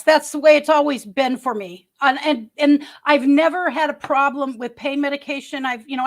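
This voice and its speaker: A woman in her early sixties hosting a casual livestream from home, chatting and reading viewer comments in a rambling way with many restarts. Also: high-pitched. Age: 60s. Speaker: livestream host.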